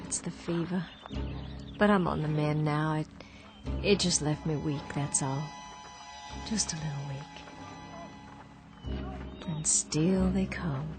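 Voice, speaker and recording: A woman's voice: low-pitched, steady tone, takes it slowly, long pauses. A woman voicing a grieving woman weakened by fever, wistful and fragile, often sinking into whispers. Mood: weary. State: feverish and weak. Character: grieving Southern woman waiting for her husband. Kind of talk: radio drama acting. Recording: radio drama with music behind.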